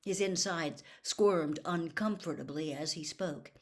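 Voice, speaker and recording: A woman storyteller reading a novel aloud in expressive audiobook-style narration. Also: lowish voice, a little slow.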